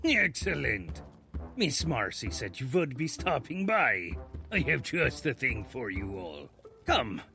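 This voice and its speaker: An actor playing a hearty, kindly bearded dwarf blacksmith in a fantasy audio drama, with a jovial, avuncular, theatrical manner. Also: male voice, medium pitch, big pitch swings, slow pace, creaky, sharp tone, exaggerated voice. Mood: welcoming. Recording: music under the voice.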